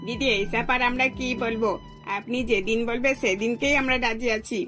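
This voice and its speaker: A woman plays an anxious middle-aged cartoon mother of a bride. Her voice is fairly high and earnest, the sort that gives advice, over faint music. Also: fairly even intonation, moderate pace, fairly bright tone, lightly acted. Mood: agreeable. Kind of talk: scripted cartoon dialogue.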